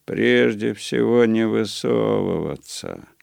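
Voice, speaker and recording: A man voicing a worldly legless war veteran who gives dry, conspiratorial warnings, in a slow, low, gravelly voice. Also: darkish tone, no room sound, full character voice.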